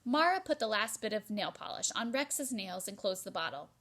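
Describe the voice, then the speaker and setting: A woman's voice: medium pitch, big pitch swings, moderate pace, fairly bright tone. A woman teacher giving an interactive read-aloud for children, explaining expressively and prompting listeners as she goes.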